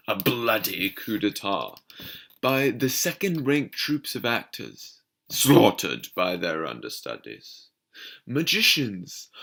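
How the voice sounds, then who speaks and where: A man's voice: medium pitch, gravelly, a bit bright, pitched above the performer's own voice, exaggerated voice. A young man playing a frustrated, overshadowed theatre critic in a dramatic stage monologue with a British accent. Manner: dramatic, deliberate delivery with emphatic swells. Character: frustrated, overshadowed second-string male theatre critic, ranting bitterly.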